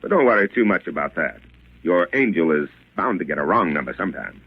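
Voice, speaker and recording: An actor playing a dismissive, unworried police officer in a 1940s radio drama, offhand and brusque. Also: male voice, low voice, expressive, brisk, loud music behind the voice. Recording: tinny, band-limited old radio recording.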